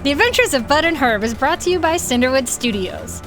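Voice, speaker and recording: A woman's voice: sits high, animated, fairly quick. A woman reading scripted show credits as an announcer. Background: music.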